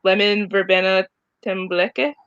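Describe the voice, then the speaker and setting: A woman's voice: mid-range voice, fairly even intonation, moderate pace, a bit bright, clean tone, no room sound. A woman of about twenty chatting casually as co-host of a live stream. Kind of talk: casual group chat. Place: indoor room at home, on a video call. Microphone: headset on a video call. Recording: dull, lo-fi video call audio.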